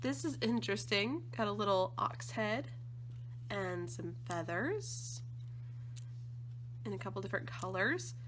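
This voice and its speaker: A woman with a slightly croaky voice from allergies, chatting casually and gushing with enthusiasm as she unboxes craft supplies. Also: mid-range voice, lively intonation, a little slow, pauses often, a little hiss or hum. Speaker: scrapbooking design team member.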